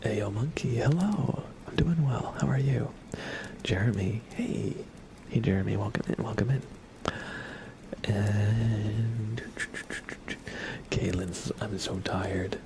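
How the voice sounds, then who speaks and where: A young man hosting a relaxed ASMR livestream, mostly whispering and speaking softly in a reassuring, encouraging way. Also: lowish voice, takes it slowly, long pauses, noisy background. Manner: soft-spoken, mostly whispering. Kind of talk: relaxed ASMR, chatting with viewers and reading tarot cards.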